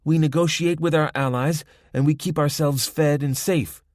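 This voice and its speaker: A man's voice: on the high side, fairly even intonation, normal speed, a little mellow, dry recording, clean studio sound, pitched above the performer's own voice, natural voice. A man doing a cocky young Spanish-accented woman. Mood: taunting. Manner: mocking, self-assured. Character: tough young woman gang lookout, confident.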